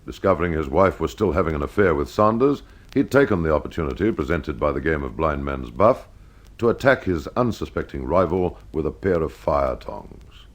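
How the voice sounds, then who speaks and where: A man's voice: very deep voice, lively intonation, normal speed. A man narrating an old radio mystery in a genial, wry storytelling manner.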